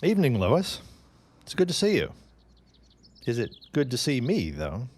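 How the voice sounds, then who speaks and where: An actor playing a self-reproaching young amateur spy with a measured, theatrical way of talking in a period audio drama. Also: male voice, medium pitch, wildly swooping pitch, darkish tone, a little hiss or hum. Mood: apologetic, wry. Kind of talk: period radio-style audio drama acting.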